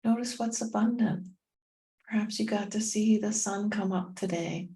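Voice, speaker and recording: A woman leading a guided meditation in a soothing, gentle manner, leaving long pauses between short phrases. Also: medium pitch, steady tone. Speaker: meditation guide and tapping coach.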